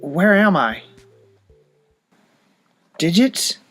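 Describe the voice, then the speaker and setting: An actor playing a bewildered, disoriented man who has become a cyborg, asking halting questions in an audio drama. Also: high voice, big pitch swings, fairly bright tone, faint music behind, heavily acted. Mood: confused, alarmed.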